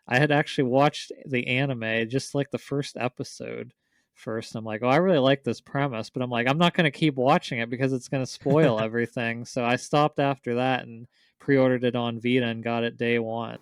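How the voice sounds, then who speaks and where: A man's voice: medium pitch, clean tone, no room sound. A man co-hosting a podcast, chatting casually about games in a conversational, opinionated way. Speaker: podcast co-host.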